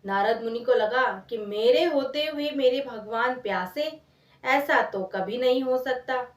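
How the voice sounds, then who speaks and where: A woman's voice: fairly high pitch, a little slow. A woman telling a devotional moral tale informally, addressing her listeners directly as a storyteller. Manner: addresses listeners with rhetorical questions. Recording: echoey room, distant microphone.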